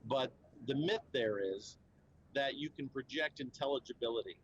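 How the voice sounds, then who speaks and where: A man's voice: medium pitch, moderate pace, lo-fi sound, a little hiss or hum. A man speaking as a company spokesman at a trade show, explaining in an earnest, fluent way with frequent fillers and restarts. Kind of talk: conversational explainer interview.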